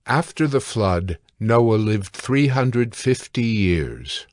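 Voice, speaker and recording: Slow, measured male scripture narrator. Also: fairly low pitch, expressive, no room sound, studio quality. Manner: measured, sober.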